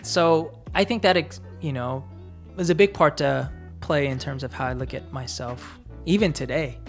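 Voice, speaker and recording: Low-voiced reflective woman co-host. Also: normal speed, soft background music. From United States. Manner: reflective, talks in long searching sentences with many fillers. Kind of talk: casual chat.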